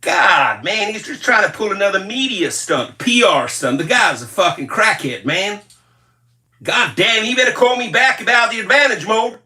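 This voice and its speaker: A man's voice: sits high, lively intonation, normal speed, pitched above the performer's own voice, full character voice. A man playing an angry, fed-up male caller who rants and curses down the phone, repeating himself. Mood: ranting. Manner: angry shouting, cursing, repetitive.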